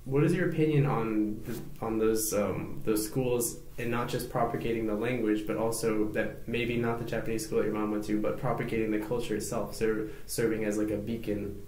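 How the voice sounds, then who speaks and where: A man's voice: on the low side, steady tone, moderate pace, darkish tone, roomy sound, a little hiss or hum, low-quality recording. A man hosting an interview show, asking long, winding questions and nodding along with frequent "mm-hmm"s. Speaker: host.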